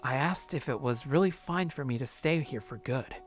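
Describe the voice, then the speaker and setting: A let's play host reading a visual novel aloud dramatically, with slangy, expressive casual commentary. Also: medium pitch, normal speed, soft background music.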